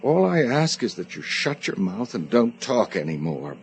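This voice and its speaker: A man's voice: mid-range voice, very animated, normal speed. An actor in a radio drama playing a guilty, domineering man with an intense, stammering manner. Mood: irritated, snapping. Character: guilty, agitated man hiding a killing, domineering yet pleading. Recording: radio drama recording with noisy ambience.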